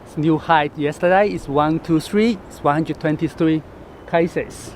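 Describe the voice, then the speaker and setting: A man from Chengdu with a Chinese accent, a travel vlogger talking casually to camera outdoors, halting and full of fillers. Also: fairly high pitch, a little hiss or hum. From Chengdu, China. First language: Sichuanese. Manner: chatty, halting, with frequent fillers and laughs. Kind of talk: casual vlog talk to camera. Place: outdoors in the city.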